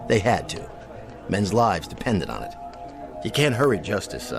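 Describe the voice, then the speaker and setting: An actor playing a vain, demanding aging TV cowboy star who whines and bosses the crew about. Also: male voice, lowish voice, sing-song, moderate pace, vocal fry, over music. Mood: whiny. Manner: pompous, demanding, whiny. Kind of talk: radio drama acting.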